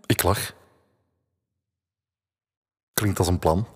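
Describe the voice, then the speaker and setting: Low-voiced Flemish brooding outsider. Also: male voice, fairly even intonation, drawn-out words, breathy edge, full character voice. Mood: wistful, restrained. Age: 30s. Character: brooding, laconic man in his thirties, a drifting outsider. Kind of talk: intimate first-person audio drama narration. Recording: music bed under the voice. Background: music.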